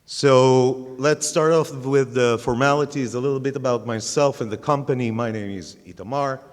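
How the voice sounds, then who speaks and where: A man's voice: medium pitch, moderate pace. A middle-aged man with an Israeli accent giving a casual conference tech talk on a handheld mic, with lots of fillers. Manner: casual, self-deprecating, many fillers. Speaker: conference speaker. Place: large conference hall. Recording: long hall reverb.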